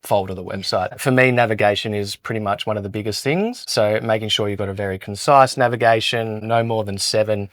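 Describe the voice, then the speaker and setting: A man with a fairly low voice talks casually and often restarts his sentences. The sound is dry and close to the mic. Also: a little fast, hardly any pauses, no room sound. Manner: relaxed, with repeated restarts. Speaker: co-founder, e-commerce lead. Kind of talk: casual podcast chat.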